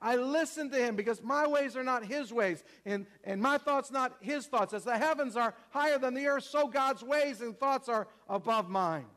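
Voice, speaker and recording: A man with a notably high voice delivers a sermon with strong emphasis. He repeats his key phrases and puts questions to his listeners. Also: normal speed, few pauses, fairly bright tone. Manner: emphatic, repetitive, asks the congregation questions. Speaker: preacher.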